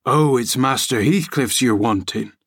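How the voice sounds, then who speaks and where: A man's voice: mid-range voice, a little slow, a bit bright, slightly rough, no room sound, studio quality. A man reading aloud, voicing a sour, grumbling old male servant who scolds and mocks. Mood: mocking. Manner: scolding, mocking.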